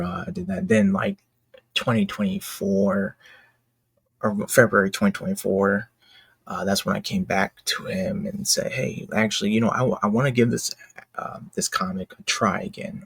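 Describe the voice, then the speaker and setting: A man giving casual, unscripted commentary as a creator, with a husky, gravelly voice and a halting, rambling, self-correcting way of talking. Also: on the low side, dry recording. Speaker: indie manga creator and narrator.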